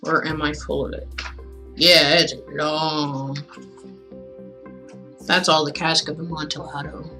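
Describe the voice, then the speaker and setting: Slow-paced casual woman streamer. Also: deep voice, flat delivery, bright, forceful tone, soft background music. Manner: rambling, musing aloud, informal. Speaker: streamer reading stories aloud. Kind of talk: casual livestream chat.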